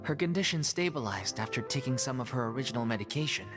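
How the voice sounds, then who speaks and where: A woman's voice: a bass voice, animated, fast. A woman voicing a blunt, scolding caretaker in a video game who teases and lectures. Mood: calm. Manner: blunt and teasing. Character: blunt nurse-like troupe member, dry and scolding. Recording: game audio with background music.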